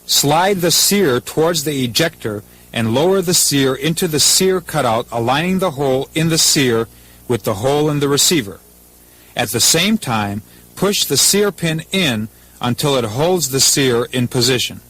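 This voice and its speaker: A man's voice: mid-range voice, expressive, moderate pace, fairly bright tone. A man with an American accent reading scripted instructions as a 1980s military training film narrator, in a formal, methodical, impersonal manner.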